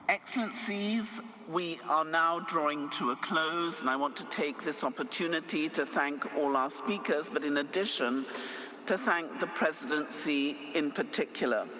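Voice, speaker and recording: A man's voice: a little high, background noise. A man moderating a summit session, giving formal, courteous closing remarks to the dignitaries. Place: conference hall.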